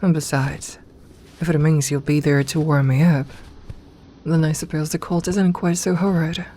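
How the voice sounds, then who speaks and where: A woman's voice: deep voice, little pitch movement, mellow, lightly acted. Woman acting a regal, commanding serpent queen, ambient noise. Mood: wry, proud. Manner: regal, formal, measured speech. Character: imperious monstrous serpent queen, commanding yet tender toward companion. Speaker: voice actor in audio roleplay. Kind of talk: ASMR roleplay acting.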